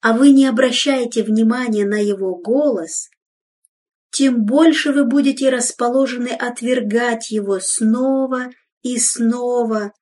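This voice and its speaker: A woman giving a solemn, measured devotional reading in an exhorting tone, heard from some distance from the microphone. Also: fairly high pitch, steady tone, a little mellow. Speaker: reader of devotional text.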